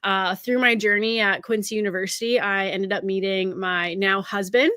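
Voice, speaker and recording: Woman from Canada, thirties, interview guest, upbeat, bright tone, dry close sound. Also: mid-range voice, fairly even intonation, moderate pace, dry recording. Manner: chatty, upbeat, many fillers. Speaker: guest, college recruiting scout. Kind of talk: casual interview conversation.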